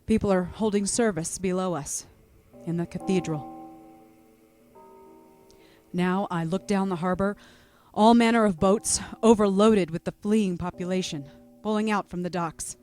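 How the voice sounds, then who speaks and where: A man's voice: high-pitched, measured pace, darkish tone, soft background music. An actor playing a male radio announcer on a city rooftop, giving urgent, clipped bulletins in a 1930s radio drama. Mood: grave.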